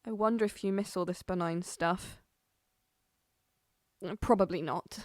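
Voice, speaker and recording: A woman's voice: lowish voice, steady tone, unhurried, dry recording, studio quality, lightly acted. A woman playing a wistful farm wife composing a letter in an audio drama monologue, hesitant and musing. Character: wistful English farm wife writing to her absent husband.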